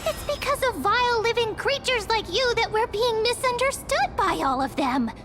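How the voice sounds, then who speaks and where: A woman's voice: very high voice, animated, normal speed. A woman voicing a tiny, childlike, indignant spirit creature in a video game. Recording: background music under the voice.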